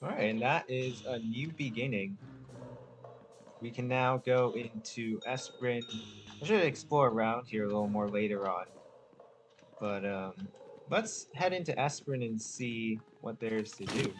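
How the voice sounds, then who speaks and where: A man's voice: mid-range voice, a little slow, slight background noise. A man giving casual playthrough commentary on a game, laid-back and thinking aloud. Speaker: gamer, video host. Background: game music.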